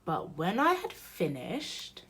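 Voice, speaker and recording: A woman reading a children's picture book aloud in an expressive storytelling manner meant for young listeners. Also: on the low side, slow, husky. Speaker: storybook reader.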